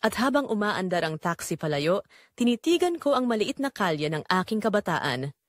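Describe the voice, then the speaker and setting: A woman's voice: mid-range voice, big pitch swings, moderate pace, no echo, studio quality. A woman with a Filipino accent narrating a scripted first-person drama in an earnest, emotive way.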